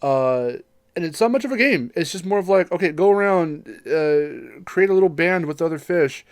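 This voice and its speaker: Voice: mid-range voice, lively intonation, normal speed, no room sound, studio quality. A podcast co-host chatting casually about games in a rambling, joking way, with some swearing. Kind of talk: casual gaming podcast chat.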